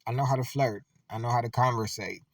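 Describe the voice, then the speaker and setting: A man's voice: medium pitch, fairly even intonation, fast talker, no room sound, distant mic. A man giving loose, conversational reaction commentary, full of filler words.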